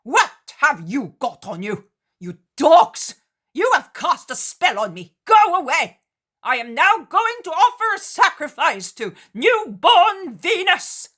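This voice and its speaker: A woman voicing a furious male ship captain who shouts curses in a storm-tossed audiobook reading. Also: high voice, big pitch swings, slow, pitched above the performer's own voice, full character voice.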